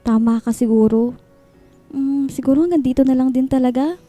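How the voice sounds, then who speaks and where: An actress playing a heartbroken, self-blaming young woman in a radio drama, speaking softly and plaintively. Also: high-pitched, steady tone, a little fast, soft background music, lightly acted. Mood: resigned. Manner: soft, plaintive, wistful. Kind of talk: Filipino radio drama acting.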